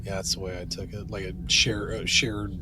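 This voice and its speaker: A man's voice: deep voice, level tone, normal speed. A man chatting casually as a podcast co-host, tentatively repeating and rephrasing as he goes.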